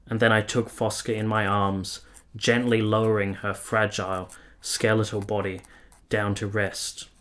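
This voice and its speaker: An actor playing a lovestruck, conflicted nineteenth-century man in a radio drama, speaking in earnest, measured, literary phrases. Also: fairly low pitch, a bit bright. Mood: solemn, confessional. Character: Giorgio, lovestruck, conflicted 19th-century Italian man, confessional narrator. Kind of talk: radio drama narration and intimate dialogue.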